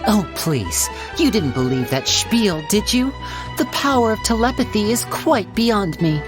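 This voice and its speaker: A man voicing a smooth, playful young stage magician in a video game, theatrical and teasing, over game music. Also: high voice, very animated, measured pace.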